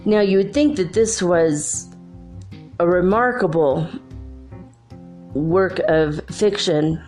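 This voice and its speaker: A woman's voice: fairly low pitch, slow pace, darkish tone, faint music behind. Congested woman chatting casually. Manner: rambling, chatty, conversational. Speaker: podcast host. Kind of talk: casual solo podcast chat.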